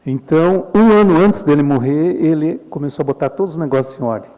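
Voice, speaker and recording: A middle-aged Brazilian man giving a relaxed symposium lecture, explaining slides in a chatty way and often adding tag questions. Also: on the high side, brisk. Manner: conversational, frequent tag questions, chuckles often. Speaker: Jungian analyst lecturing at a symposium. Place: lecture hall. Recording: reverberant lecture hall.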